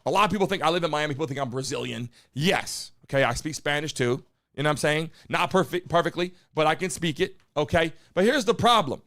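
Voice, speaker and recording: Brief: high-pitched guy hosting a live stream, brash hype-man who keeps calling out to his chat. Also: lively intonation, fast talker, a bit bright. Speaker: live-stream show host. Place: home studio in Miami.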